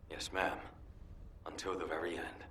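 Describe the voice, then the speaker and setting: An actor playing a loyal, anxious young soldier in a video game, speaking with earnest emotion. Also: male voice, sits low, little pitch movement, normal speed, fairly bright tone, a little hiss or hum. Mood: sorrowful resolve. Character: loyal, anxious young male soldier pleading with his captain.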